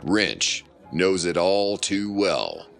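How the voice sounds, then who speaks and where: A sardonic noir narrator for a radio mystery, low in pitch and very unhurried. His florid delivery is theatrical and savours each phrase, with lively swings in tone. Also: vocal fry, faint music behind, exaggerated voice. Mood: lurid scene-setting. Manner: theatrical, deliberate, wordy.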